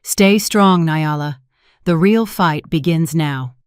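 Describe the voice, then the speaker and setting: A woman as a calm, supportive lawyer. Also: deep voice, clear, resonant tone, no echo, studio quality. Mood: encouraging. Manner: measured, reassuring.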